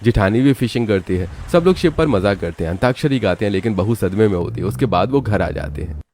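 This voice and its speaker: Low-voiced male cartoon story narrator. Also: expressive, fast, darkish tone, slight background noise.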